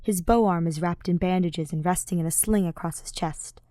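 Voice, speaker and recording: A woman's voice: fairly low pitch, moderate pace, mellow, no echo. Dry-sounding woman narrating fiction. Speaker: podfic narrator. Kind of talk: scripted fiction narration, audiobook reading.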